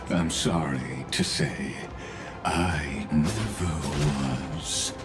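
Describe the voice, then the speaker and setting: Very deep, slow villain genius. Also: male voice, loud music behind the voice, heavily acted. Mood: detached. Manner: formal, measured, courteous, ominous. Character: cold, courtly male genius villain, cryptic schemer. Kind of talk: scripted video game dialogue.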